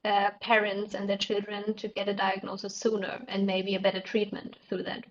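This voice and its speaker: A woman's voice: mid-range voice, completely flat delivery, normal speed, a little hiss or hum. A woman answering as a clinician at a conference, hesitant and searching for her words, on slightly muffled audio. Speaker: clinician presenting.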